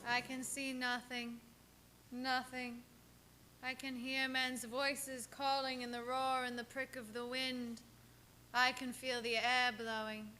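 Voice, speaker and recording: A woman's voice: sits high, little pitch movement, sharp tone, slight background noise, heavily acted. An actress playing an entranced young woman who speaks like a haunted medium in a radio drama. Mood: eerie. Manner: slow, trance-like, repetitive. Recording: music under live-streamed performance.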